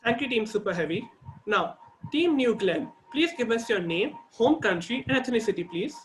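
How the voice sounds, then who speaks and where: A man serving as timekeeper and facilitator, reading a formal welcome and the debate rules in a steady, procedural way over a video call. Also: extremely high pitch, moderate pace, a little hiss or hum, rough recording.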